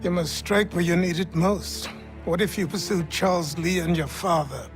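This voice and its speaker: An actor playing an insistent advisor in a video game, formal and probing. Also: male voice, high voice, fairly even intonation, moderate pace, over music, natural voice. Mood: urging. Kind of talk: video game cutscene acting.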